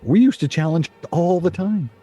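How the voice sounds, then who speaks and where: A man's voice: high-pitched, moderate pace, mellow, slightly rough. A man acting in a radio play as a plain-spoken, grumbling friend at a game table, blunt and skeptical. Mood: resigned.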